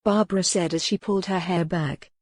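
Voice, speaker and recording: Woman, audiobook narrator, slightly deep voice, scripted dramatic storytelling, historical romance, dry sound. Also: steady tone, a little fast, breathy edge, no room sound.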